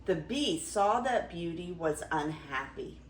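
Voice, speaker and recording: Older woman storyteller, very animated, roomy sound. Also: lowish voice, takes it slowly, slight background noise. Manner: theatrical, dramatic storytelling with emphasis. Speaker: grandmother reading stories to her granddaughter. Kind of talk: expressive fairy tale read-aloud.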